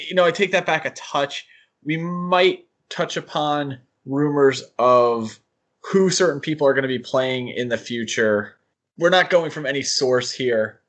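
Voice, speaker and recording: A man co-hosting a podcast, discussing things casually in a halting, hedging way. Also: medium pitch. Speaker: podcast co-host.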